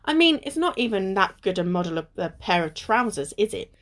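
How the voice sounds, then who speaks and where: A woman's voice: mid-range voice, sing-song, brisk, a bit bright. A woman acting a wary, bossy, teasing young adventurer in an amateur radio play. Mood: curious.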